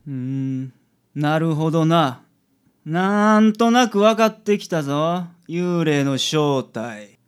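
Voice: medium pitch, animated, slow pace, clean tone. An actor playing a blunt, self-assured male detective with a Kansai accent in a radio drama. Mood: confident.

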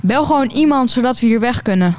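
A woman's voice: a little high, animated, fast talker, darkish tone, close to an everyday voice. A woman playing a worn-out, homesick traveller in an amateur radio play. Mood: dry, fed up.

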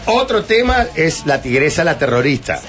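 A man chatting on a radio panel, pausing and searching for his words. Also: on the high side, sing-song, a bit bright, soft background music. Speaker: panelist nicknamed the leader. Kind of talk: casual radio panel chat.

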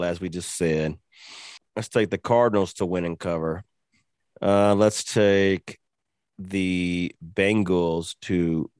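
Co-host, male, low voice, dry close sound, loose profane banter about picks. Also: no echo. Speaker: podcast co-host. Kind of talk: casual sports betting chat.

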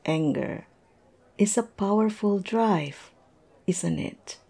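A tipsy, observant female coworker acted by a woman muses and teases over drinks in an audio roleplay. Her voice is dark and mellow, with plenty of gravelly fry. She takes her time, speaking in a halting, unhurried way. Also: medium pitch, heavily acted. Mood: reflective. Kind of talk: intimate audio roleplay, conversational monologue.

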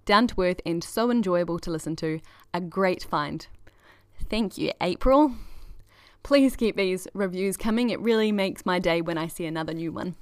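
A woman's voice: lowish voice, normal speed, smooth, no echo, studio quality. A woman hosting a podcast, giving a chatty, enthusiastic scripted introduction. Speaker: podcast host.

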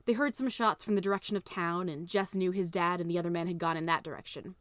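Low-pitched woman narrating a story. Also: steady tone, fast, fairly bright tone, dry recording.

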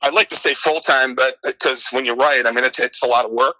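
Very bright-voiced middle-aged American man. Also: mid-range voice, fairly even intonation, brisk, dry recording, rough recording. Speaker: interview guest, author and martial arts instructor. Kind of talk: conversational interview.

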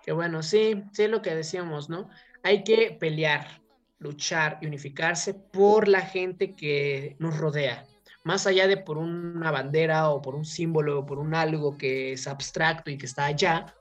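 A woman's voice: deep voice, unhurried, dull audio. A Mexican woman hosting a talk show, giving her opinions in an informal, discursive way and often checking for agreement.

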